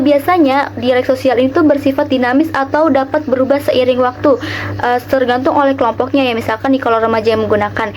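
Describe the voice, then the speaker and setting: A woman's voice: high-pitched, a little mellow, a little hiss or hum, poor audio. A young woman with a Javanese accent, explaining a lesson in a chatty way and asking her viewers questions. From Java, Indonesia. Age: young adult. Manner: chatty, addresses viewers directly with rhetorical questions. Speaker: student teacher explaining a lesson. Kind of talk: casual educational video.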